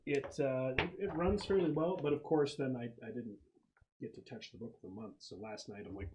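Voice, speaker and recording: A man's voice: mid-range voice, normal speed. Man running a tabletop game, noisy recording. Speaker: game master. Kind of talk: casual tabletop game narration. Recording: noisy room with clicks.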